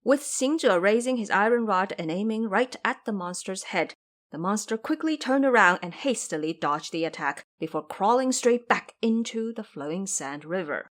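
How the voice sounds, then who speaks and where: Female narrator for an epic audio drama: expressive, measured storytelling with very lively intonation. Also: medium pitch.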